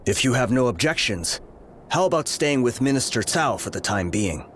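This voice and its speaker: A man's voice: medium pitch, big pitch swings, moderate pace, fairly bright tone, a little hiss or hum. A man playing a grave, courteous army officer with formal manners in a video game cutscene.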